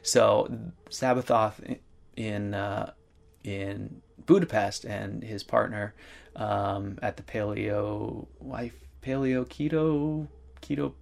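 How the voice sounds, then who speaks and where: A man speaking in a casual, halting way, rambling with many fillers and restarts. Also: on the low side, vocal fry, darkish tone. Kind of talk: casual conversational talk.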